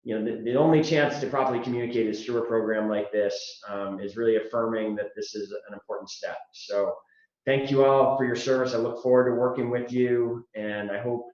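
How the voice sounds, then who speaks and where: A man who is a mayor giving measured public briefing remarks over a video call, pausing often with fillers. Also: mid-range voice, steady tone, darkish tone. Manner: measured, pauses with many fillers. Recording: roomy, dull video call audio.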